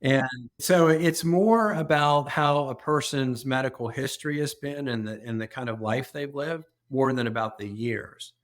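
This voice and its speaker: Man, mid pitch, interview guest; earnest explainer who restarts phrases. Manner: earnest, explanatory, repeats words when starting. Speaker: organ donation organization executive.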